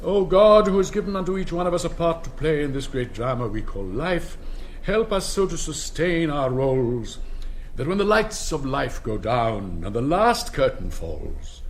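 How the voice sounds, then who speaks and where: An actor playing a pompous, elderly, stern school headmaster, declaiming with precise theatrical diction. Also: male voice, on the high side, sing-song, normal speed, slight background noise. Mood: solemn, orating. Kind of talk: theatrical stage comedy acting.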